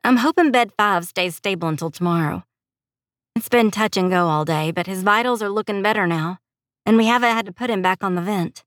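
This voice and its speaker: A woman's voice: mid-range voice, fairly quick, no room sound, natural voice. Audiobook lady doing a young nurse, caring n tired but steely, voice lifted a bit, dry close sound. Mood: tired, upbeat.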